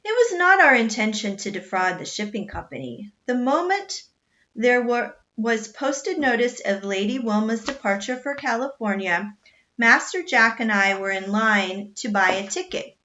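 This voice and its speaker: A woman doing a calm, formal butler. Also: fairly high pitch, normal speed, highs cut off. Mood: composed. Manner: formal, courteous. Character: polite, unflappable middle-aged male butler.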